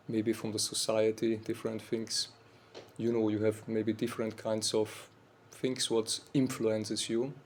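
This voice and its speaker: Austrian-accented monk lecturing haltingly. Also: male voice, on the low side, creaky, slight background noise. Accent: Austrian German. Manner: halting, searching for words, frequent "like" fillers. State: slightly weak voice. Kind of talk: informal university talk. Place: university lecture room.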